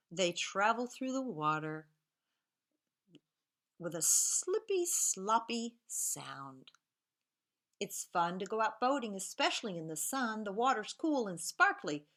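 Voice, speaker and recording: A woman's voice: mid-range voice, very animated, unhurried, pushed and bright. A woman teacher reading a picture book aloud to young children and explaining simple machines in a sing-song storybook manner. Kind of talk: children's read-aloud lesson.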